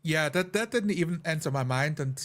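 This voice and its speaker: Higher-voiced man in measured casual discussion. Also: expressive, fairly bright tone. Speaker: tabletop player. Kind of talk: casual discussion of a roleplaying session.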